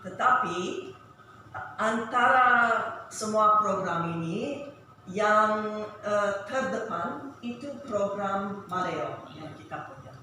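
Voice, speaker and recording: A woman with a foreign, non-native accent giving a formal presentation as an organisation director, halting and careful, recorded at a distance in a noisy, echoing meeting room. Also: mid-range voice, lively intonation, sharp tone. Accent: foreign, non-native Indonesian. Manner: halting, careful, searching for words. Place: large echoey indoor meeting room. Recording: very echoey hall, distant mic, noisy.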